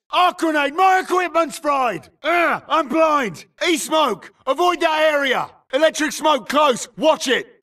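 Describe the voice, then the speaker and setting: An actor playing an urgent futuristic soldier in a video game, barking clipped military combat callouts in a strained voice. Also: male voice, extremely high pitch, bright, forceful tone, far from the mic, exaggerated voice. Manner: clipped, urgent, barking short radio-style phrases. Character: tense, urgent futuristic infantry soldier in firefight.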